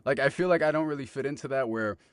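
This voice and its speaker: Young podcast host guy, deep voice, hyped reactions, dry close sound. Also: fast, clear, resonant tone, dry recording. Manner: upbeat, enthusiastic reactions. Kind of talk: casual interview chat.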